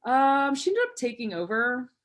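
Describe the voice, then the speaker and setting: A woman's voice: high-pitched, sing-song, moderate pace. A woman chatting as a guest on a podcast, laughing often and acting out what others said. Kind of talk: casual podcast chat.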